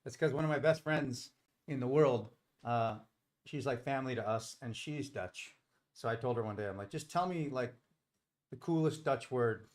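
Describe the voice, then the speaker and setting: A man's voice: mid-range voice, normal speed. A middle-aged man hosting a live stream, talking casually and explaining things in a rambling, informal way. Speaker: live stream host and trader.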